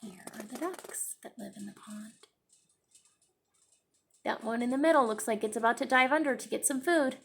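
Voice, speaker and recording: A young woman teacher reading a picture book aloud for children in a classroom, pausing to explain words and pages. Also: a little high, vocal fry, slight background noise. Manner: slow, expressive storytime reading with explanations.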